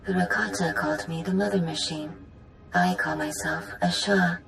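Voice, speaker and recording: An ancient machine mother speaks with stately maternal pride and quiet menace. The voice is low for a woman, with a creaky grain. It rings in a roomy game-world space over faint rumbling noise. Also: big pitch swings, normal speed, soft background music, exaggerated voice. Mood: tender, imperious. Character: ancient godlike machine mother, tender, proud, quietly vengeful. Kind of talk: dramatic video game acting.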